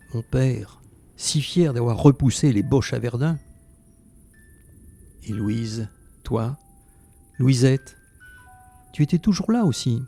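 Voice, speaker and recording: Actor as gravelly, mellow Resistance fighter remembering. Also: male voice, medium pitch. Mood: tender, longing. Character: reflective French Resistance fighter recounting his wartime memories. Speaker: narrator. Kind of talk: first-person memoir narration in an audio drama.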